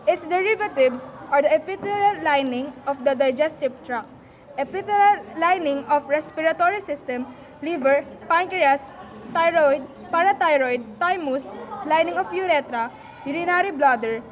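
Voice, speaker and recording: A woman's voice: sits high, moderate pace, dull audio, a faint crowd, a little hiss or hum, natural voice. A woman playing a nurse who recites science facts in a scripted puppet show. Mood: reciting.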